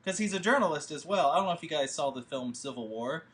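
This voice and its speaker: A man's voice: a little high, lively intonation, fast, fairly bright tone. A man leading a film table read, talking through plans in a rambling, hesitant way with many fillers. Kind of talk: casual discussion.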